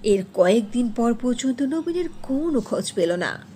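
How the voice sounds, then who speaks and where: A woman's voice: a little high, sing-song, moderate pace, mellow. A woman narrator reading a scripted fairy tale for a cartoon.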